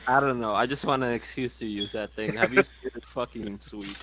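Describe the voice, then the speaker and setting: A man's voice: mid-range voice, steady tone, brisk, bright, forceful tone, a little hiss or hum. A man playing a tabletop game, chatting casually with lots of swearing and wisecracks. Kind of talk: casual profane game chat.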